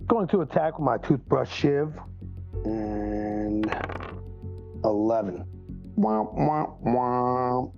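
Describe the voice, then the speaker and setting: A man's voice: medium pitch, drawn-out words, long pauses, over music. A man playing a tabletop game, chatting casually about his moves, in a dull, muffled recording.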